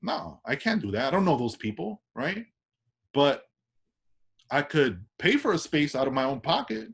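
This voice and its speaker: A man's voice: fairly high pitch, big pitch swings, moderate pace, creaky, husky. A man from Washington Heights with a New York accent, an artist chatting casually in an interview, loose and full of restarts and tag questions. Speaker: artist guest.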